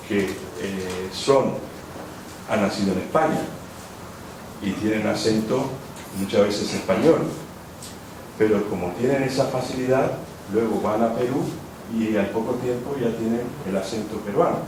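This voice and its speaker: A man giving an academic lecture, rambling and rephrasing with frequent hesitations. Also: fairly low pitch. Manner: discursive, rephrases often, many "eh" fillers. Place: lecture hall. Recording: echoey hall, distant mic, background noise.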